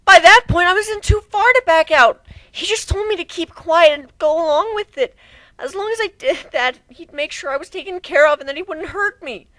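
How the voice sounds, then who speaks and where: Very high-pitched, pleading young griffin girl played by a woman. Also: moderate pace, bright, forceful tone, no echo, low-quality recording. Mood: frightened, defensive. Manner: pleading, emotional. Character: frightened, remorseful young female griffin, fourteen, childlike.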